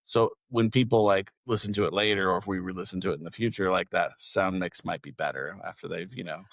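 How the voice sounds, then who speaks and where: A man's voice: sits low, fairly even intonation, a little fast, vocal fry, a bit bright, dry recording. A man co-hosting a podcast, chatting casually and informally. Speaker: podcast co-host.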